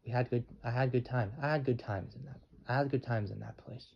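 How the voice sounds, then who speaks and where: An eighteen-year-old man talking in a rambling vlog, halting and restarting his sentences often. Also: medium pitch, fairly even intonation, gravelly, slightly rough. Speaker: channel owner. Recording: dull lo-fi with faint noise.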